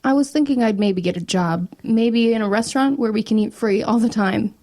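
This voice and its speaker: A wry teenage girl, played by a woman in an audio drama. She has a slightly high voice and talks in a casual, dry way, like a guarded but protective older sister. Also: fairly quick, mellow, lightly acted. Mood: casual, teasing.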